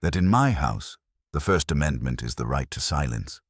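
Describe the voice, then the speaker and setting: A man's voice: rumbling low, lively intonation, normal speed, breathy edge, dry recording, studio quality, full character voice. A man voicing an imperious, pedantic genius detective who speaks in formal, pompous pronouncements in an audiobook. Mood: stern, self-assured.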